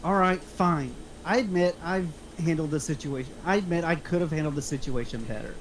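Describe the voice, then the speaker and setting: A man's voice: on the high side, a little fast, a little hiss or hum, natural voice, poor audio. A man playing a nervous, pleading male Irish hitman who is insistent and out of his depth. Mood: flustered. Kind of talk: script table read.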